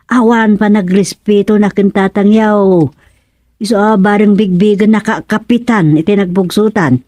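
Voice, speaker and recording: A woman's voice: mid-range voice, steady tone, moderate pace, mellow, no room sound, close to an everyday voice. A woman with an Ilocano accent acting a gossipy, insistent villager in a radio comedy-drama.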